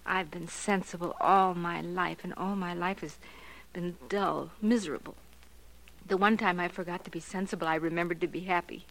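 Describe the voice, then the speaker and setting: An actress playing a lonely, devoted, lovestruck woman in a 1940s radio drama, breathless and halting. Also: fairly low pitch, steady tone, a bit bright. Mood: adoring, wistful. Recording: noisy vintage radio drama recording.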